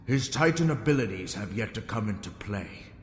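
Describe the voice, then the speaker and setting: A man playing a grave, blunt vice admiral who speaks deliberately and formally in a sci-fi drama. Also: lowish voice, animated, close to an everyday voice. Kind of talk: sci-fi audio drama acting. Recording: echoey hall with long reverb.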